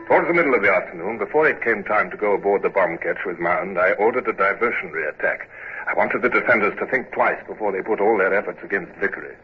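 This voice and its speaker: An actor playing a calm, commanding British naval commodore in a vintage radio drama, giving measured, dryly ironic orders and narrating. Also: male voice, fairly low pitch, breathy edge, faint music behind. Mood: reflective narration. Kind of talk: 1950s radio drama acting. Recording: muffled noisy old radio recording.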